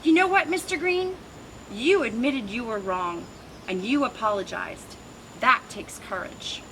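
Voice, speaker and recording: A lady doing a squawky bossy pigeon, high and jumpy, lots of traffic noise. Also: lively intonation, a little slow. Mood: delighted. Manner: squawking, insistent. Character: excitable, bossy little male pigeon. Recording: noisy outdoor recording with traffic.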